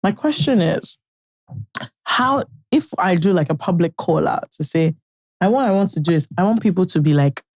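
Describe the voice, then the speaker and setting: A young Nigerian woman co-hosting a casual podcast, talking with emphatic, animated energy. Her voice carries a gravelly edge, and the recording is close and dry. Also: lowish voice, moderate pace, dry recording. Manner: animated, emphatic, swears and promises often. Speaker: podcast co-host. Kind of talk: casual chat.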